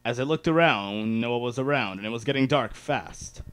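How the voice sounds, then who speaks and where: A man's voice: medium pitch, normal speed, a bit bright, smooth. A man reading first-person fan fiction aloud as an amateur dramatic narrator.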